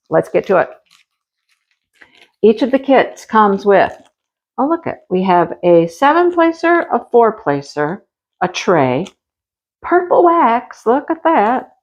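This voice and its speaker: A woman's voice: on the low side, darkish tone, poor audio. A woman hosting her crafting channel, chatting casually through an unboxing, a little stuffy with a cold and a slight cough. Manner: chatty, homey, talks to viewers directly.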